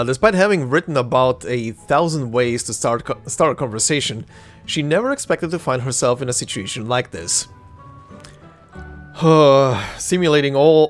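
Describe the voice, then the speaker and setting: A man from Croatia hosting a let's play, reading the game's narration and chatting excitedly. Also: medium pitch. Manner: excitable, laughs often. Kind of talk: casual gameplay commentary, reading the narration.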